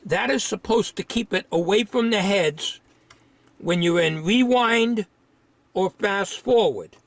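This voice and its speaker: A man giving an informal, unscripted hands-on repair demonstration, thinking aloud in a halting way. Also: sits high, no echo.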